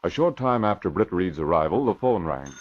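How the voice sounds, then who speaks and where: A man narrating an old-time radio drama in a measured, formal storytelling manner. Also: low voice, animated, a little hiss or hum. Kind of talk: scripted radio drama narration, 1940s style. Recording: muffled vintage radio recording.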